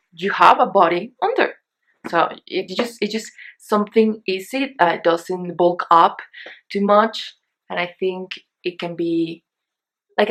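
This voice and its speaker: A young woman from Mexico, a Spanish native speaker with a Mexican accent, chatting casually through a shopping haul, rambling and hesitant, with many fillers and restarts. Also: medium pitch. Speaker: beauty vlogger.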